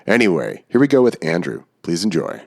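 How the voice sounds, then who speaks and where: A man's voice: low voice, big pitch swings, brisk, gravelly, a little mellow. A man hosting a podcast in an informal, chatty way, sounding slightly congested from a cold. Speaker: podcast host. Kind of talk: casual podcast monologue and interview hosting.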